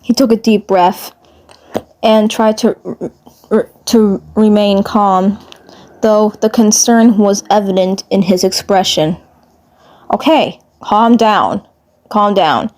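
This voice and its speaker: A woman narrating a fan fiction story aloud in a halting way, often stumbling and rereading words. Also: mid-range voice, steady tone, warm tone.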